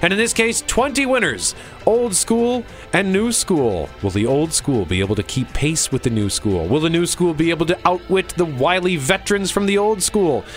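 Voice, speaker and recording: Animated, higher-voiced man hosting radio. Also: moderate pace, soft background music. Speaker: radio show host. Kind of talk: scripted radio show narration with casual asides.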